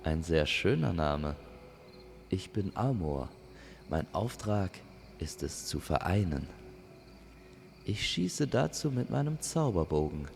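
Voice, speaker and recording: A man's voice: deep voice, sing-song, slow, vocal fry, long pauses, soft background music, heavily acted. A man voicing a friendly archer spirit of love, courteous and earnest.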